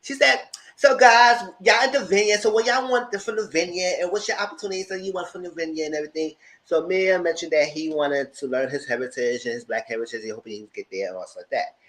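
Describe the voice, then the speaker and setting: A man's voice: a little high, quick pace, fairly bright tone, rough recording. A man co-hosting a casual reality TV review, talking animatedly and acting out the cast members he quotes. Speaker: podcast co-host.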